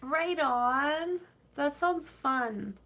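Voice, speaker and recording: Woman livestream host, slow unhurried chat, laughs easily, reads out viewer comments. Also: sits high, animated, pushed and bright. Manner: chatty, laughs easily, rambling with fillers.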